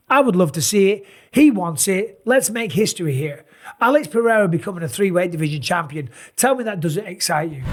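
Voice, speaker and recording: A middle-aged man with a raspy, sore voice, tired and talking in an animated, casual way as he breaks down fight matchups. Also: high-pitched. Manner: animated, emphatic, rambling asides. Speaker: MMA analyst and channel host. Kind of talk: casual fight commentary to camera.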